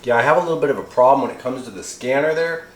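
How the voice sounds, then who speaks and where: A man's voice: mid-range voice, rapid-fire. A middle-aged man giving a casual, rambling and enthusiastic unboxing review as a radio hobbyist. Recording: roomy echo, faint background noise.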